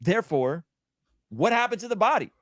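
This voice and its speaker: A man's voice: high-pitched, sing-song, fairly quick, no echo, studio quality. A man speaking as a religious apologist in impassioned conversational preaching, repeating phrases for weight.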